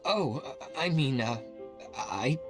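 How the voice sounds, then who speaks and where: A man's voice: mid-range voice, expressive, slow, over music. An actor playing a timid, meek male civil servant who speaks hesitantly and stammers. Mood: nervous.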